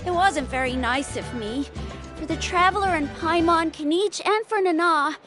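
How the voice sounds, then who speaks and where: A very high, anxious child. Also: female voice, normal speed. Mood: apologetic. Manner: hesitant, earnest. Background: game music.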